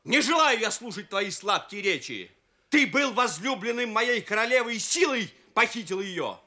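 A man's voice: sits high, expressive, normal speed, sharp tone, full character voice. A man playing a wronged, wrathful king with imperious, accusing declamation in an audio play. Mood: scornful, angry. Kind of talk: Soviet-era recorded radio play acting.

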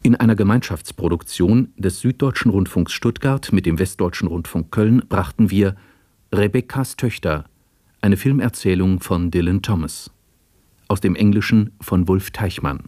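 A man reading scripted broadcast credits as an announcer, formal and measured. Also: deep voice, dark tone, no room sound, clean studio sound.

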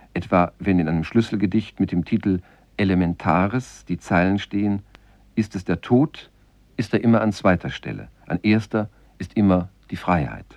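A man reading a scripted literary essay for radio in a measured, formal manner, as a presenter introducing a play. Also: fairly low pitch, darkish tone, no echo. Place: dry radio studio.

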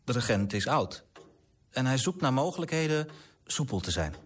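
An actor in a radio drama playing an earnest, idealistic clerk, formal and articulate, politely persistent. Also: male voice, mid-range voice, sing-song, moderate pace. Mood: grave, narrating.

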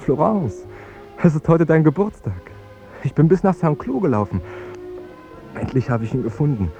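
An actor playing a cheerful, guileless young fiancé who talks on with needling politeness in a 1950s radio play. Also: male voice, on the high side, sing-song, moderate pace, dark tone. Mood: eager, chatty. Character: Jerome, cheerful, naively chatty young fiancé. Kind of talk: 1950s German radio drama acting. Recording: dull 1950s radio recording, music behind.

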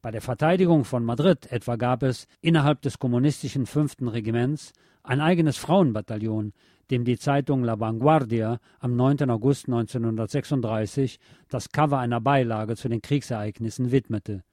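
Mellow-voiced man reading scripted narration, close and dry. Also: mid-range voice, moderate pace, no echo. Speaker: radio presenter reading a historical feature.

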